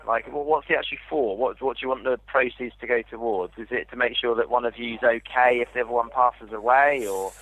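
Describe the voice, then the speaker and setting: A man's voice: medium pitch, steady tone, a little fast, hardly any pauses, fairly bright tone, no room sound. A man working as an insurance call handler, patiently explaining things over a tinny phone line. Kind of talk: customer service phone conversation.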